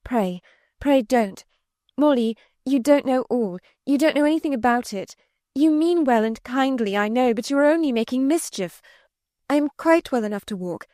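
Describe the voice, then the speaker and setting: A woman voicing a desperate, high-strung young woman in a storybook reading, pleading and defiant with emphatic exclamations. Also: animated, normal speed, darkish tone, no room sound, clean studio sound. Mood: urgent pleading. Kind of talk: dramatised audiobook reading.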